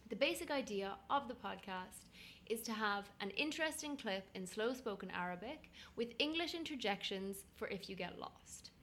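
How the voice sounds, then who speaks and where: A woman from Ireland, hosting a language podcast in a clear, measured, scripted way. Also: mid-range voice, lively intonation, a bit bright, slight background noise. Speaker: podcast host. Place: room in a city with traffic outside.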